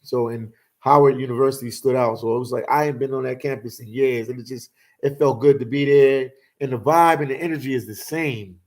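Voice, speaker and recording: A man in his forties hosts a relaxed livestream, reminiscing and telling stories at an easy, unhurried pace. He fills his talk with "man" and "you know," keeping it loose and conversational. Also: mid-range voice. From Philadelphia. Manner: laid-back storytelling, lots of "man" and "you know". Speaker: host. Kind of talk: casual livestream chat.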